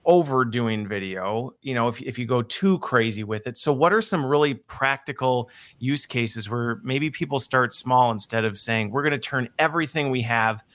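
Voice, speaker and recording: A man's voice: medium pitch, moderate pace, hardly any pauses, a bit bright. A man interviewing guests in a casual business conversation, thinking aloud and often checking that he has understood.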